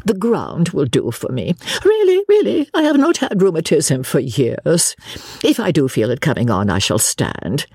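A woman's voice: sits low, wildly swooping pitch, moderate pace, gravelly, dark tone, husky, no echo, studio quality, full character voice. A woman voicing a prim, fussy, self-sacrificing older English spinster in an audiobook, with a fretful, politely martyred manner. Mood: martyred fussing. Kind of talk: audiobook character voice.

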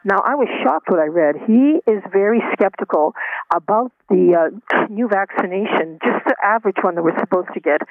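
A woman's voice: medium pitch, no room sound. A woman calling in to a radio show, emphatic and opinionated, repeating her points. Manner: emphatic, repetitive. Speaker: caller. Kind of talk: opinionated call-in. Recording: phone line.

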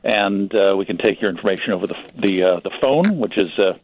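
A man's voice: fairly low pitch, lively intonation, fairly quick, a little mellow, slight background noise. A man speaking conversationally as a guest in a radio interview. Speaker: magazine publisher guest. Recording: slightly dull lo-fi line.

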